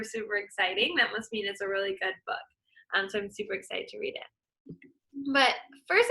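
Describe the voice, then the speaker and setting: A woman's voice: on the high side, sharp tone, low-quality recording. A young woman teacher reading a picture book aloud to children, upbeat and encouraging, explaining words as she goes.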